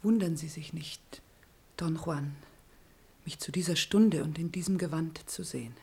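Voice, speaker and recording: An actress playing a penitent, devout noblewoman in a classical radio play, earnest and solemn, with a breathy, husky voice. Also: low voice, measured pace, darkish tone, exaggerated voice. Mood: solemn tenderness. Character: penitent, devout noblewoman pleading with former lover.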